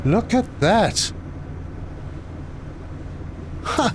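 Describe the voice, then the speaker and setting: A man, live streaming a game and chatting with viewers, often pausing with fillers. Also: high voice, expressive, slight background noise. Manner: chatty, reads chat aloud, hesitant with fillers. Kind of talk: casual live stream commentary.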